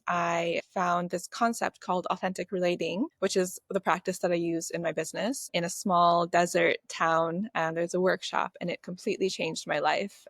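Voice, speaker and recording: A woman's voice: fairly low pitch, gravelly, no room sound. A woman in her thirties, a podcast guest talking in an earnest, thoughtful way with some hesitations. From United States (Chicago). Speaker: guest, workshop facilitator. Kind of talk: reflective podcast conversation.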